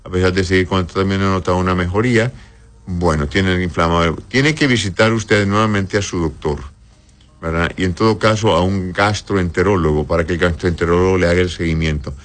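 A man's voice: low voice, brisk. A man speaking as an on-air doctor, reading listeners' questions aloud and answering them in a blunt, explanatory way with frequent checking tags. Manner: explanatory, often adds a checking "right?" tag.

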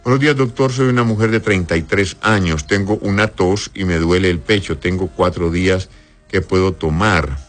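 A man's voice: low-pitched, moderate pace, soft background music. A man speaking as an on-air doctor, reading listeners' questions aloud and answering them in a blunt, explanatory way with frequent checking tags. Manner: explanatory, often adds a checking "right?" tag.

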